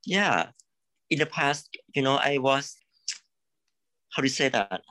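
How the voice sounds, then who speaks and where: A man from Thailand, a creative consultant, speaking in a halting way, repeating words and saying "you know" often. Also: medium pitch, expressive, pushed and bright, dry recording. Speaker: coaching client, creative consultant. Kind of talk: live coaching session.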